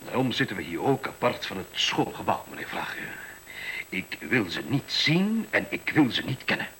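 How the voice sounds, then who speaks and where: A man's voice: fairly low pitch, very animated, normal speed, fairly bright tone. An actor in a radio play voicing a smug, condescending male school director who talks glibly about efficiency and interrupts himself. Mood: dismissive, firm. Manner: condescending, glib, self-interrupting. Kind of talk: radio drama acting, conversational monologue. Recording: hissy, noisy old radio recording.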